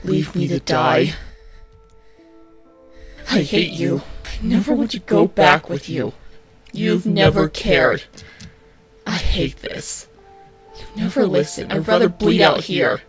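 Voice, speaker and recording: Girl voicing a fed up anxious guy thats hurt, bitter and halting, slow, music under it, fan comic dub. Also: on the low side, animated. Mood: bitter despair. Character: bitter, anxious young man, injured and fed up. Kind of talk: amateur comic dub acting.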